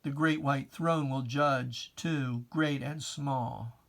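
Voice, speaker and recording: Slow, solemn reciter of dramatic verse. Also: mid-range voice, steady tone, gravelly, fairly bright tone. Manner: solemn, declamatory, emphatic, with archaic diction. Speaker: poetry reciter. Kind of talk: dramatic reading of religious verse.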